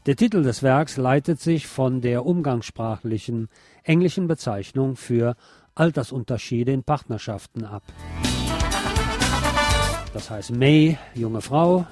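Male film review host, mellow and warm, light music bed. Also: medium pitch, a little slow.

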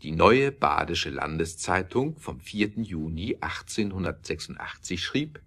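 A man narrating an audio drama with scripted, expressive storytelling delivery. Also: low-pitched, measured pace.

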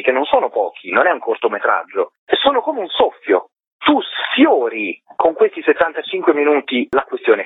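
A man's voice: a little high, breathy edge, no room sound. A man being interviewed over the phone as a documentary director, explaining his subject in an articulate, discursive and reflective way. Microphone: telephone. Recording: tinny narrow-band phone line.

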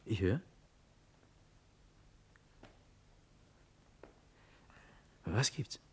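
An actor playing a proud, honour-bound young prince in a classical verse radio drama, with solemn, declamatory delivery that moves between hushed and forceful. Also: male voice, on the low side, lively intonation, moderate pace, breathy edge. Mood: firm, withdrawn.

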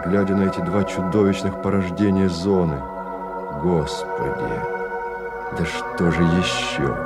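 An actor narrating a bleak science-fiction radio play as a grave, measured, dramatic literary storyteller. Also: male voice, low voice. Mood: somber.

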